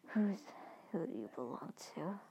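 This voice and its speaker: A woman's voice: fairly low pitch, very animated, measured pace, mellow, voice pushed down. A woman whispering as a crude, domineering man. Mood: taunting. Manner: taunting, commanding.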